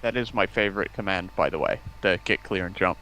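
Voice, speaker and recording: A man with a fairly low voice speaks in an informal stream discussion. He explains as he goes, in halting phrases that he builds up piece by piece. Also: a crowd in the background, rough recording.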